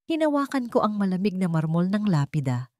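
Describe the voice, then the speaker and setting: A woman's voice: mid-range voice, very animated, brisk, darkish tone, dry recording, clean studio sound. A woman with a Filipino accent reading a scripted story in the first person as a dramatic audiobook narrator.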